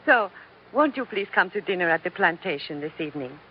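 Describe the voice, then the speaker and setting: A woman's voice: fairly low pitch, lively intonation, natural voice. Woman playing a coy femme fatale, muffled radio sound. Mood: flirtatious, coy. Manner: coy, gracious, deliberate. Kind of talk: 1940s radio acting. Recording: muffled, noisy old radio recording.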